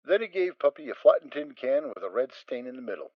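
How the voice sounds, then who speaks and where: A man narrating a dramatic reading of a story, steady and expressive. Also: mid-range voice, fast talker, no echo, lo-fi sound. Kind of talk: dramatic reading of fan fiction.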